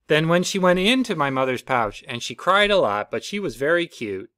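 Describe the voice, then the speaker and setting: Male reader, animated storybook delivery, dry home recording. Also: normal speed, no echo. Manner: lively storybook reading with playful pitch jumps. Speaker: reader of a children's book. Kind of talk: reading a picture book aloud.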